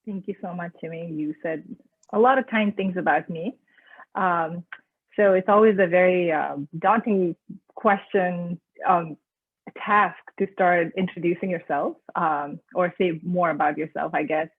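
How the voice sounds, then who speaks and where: A woman from Nepal talks calmly and thoughtfully as a guest on a video call. Her voice comes through a narrow, tinny, phone-like line. There is no room sound at all, just dry and close. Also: on the low side, a little slow, husky, dry recording. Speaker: guest mindfulness trainer. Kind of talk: conversational webinar interview.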